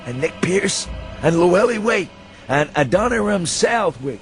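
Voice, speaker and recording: Crazed old drunkard, high voice, rural New England accent, sly, rustic, goading, radio storybook, music underneath. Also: male voice, sing-song, a little slow, heavily acted. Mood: maniacal, taunting. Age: elderly. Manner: rustic dialect, goading questions ending in "huh?". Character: hysterical drunken old man, cackling, sly, rustic New England sailor-town local. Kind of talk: dramatised audiobook reading for radio.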